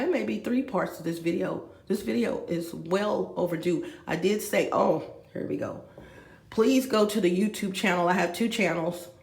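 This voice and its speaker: Woman in her 50s, vlogger with a gravelly voice, echoey room, rambling and chatty. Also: fairly low pitch. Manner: rambling, talks straight to viewers with asides. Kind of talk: rambling confessional vlog. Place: room with a bed, indoors.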